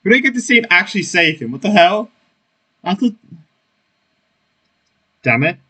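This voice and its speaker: A man's voice: sits high, big pitch swings, moderate pace, sharp tone, slightly rough. A man streaming a video game and commenting on it with offhand, reactive asides. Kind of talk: casual gameplay commentary. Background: game music.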